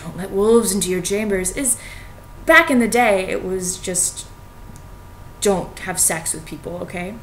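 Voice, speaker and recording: Young adult American woman, mid-pitched, informal and wry vlogger delivery, recorded on a webcam with faint noise. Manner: informal, wry, hedging with "like" and "you know". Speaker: vlogger reading and analysing a fairy tale. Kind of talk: casual spoken commentary to camera. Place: small home kitchen, indoors. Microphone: computer webcam at arm's length. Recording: noisy home webcam recording, low rumble.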